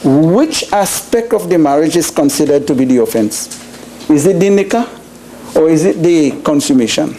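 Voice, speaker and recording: Male senator, high mellow voice, halting debate speech with rhetorical questions. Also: lively intonation, a little hiss or hum, low-quality recording.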